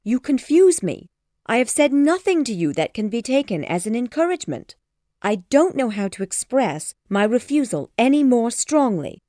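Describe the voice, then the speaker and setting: A woman's voice: mid-range voice, very animated, moderate pace, dark tone, dry recording, clean studio sound, natural voice. A woman voicing a spirited young woman who firmly and earnestly refuses a suitor. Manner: firm, earnest, exasperated. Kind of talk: storybook character voice.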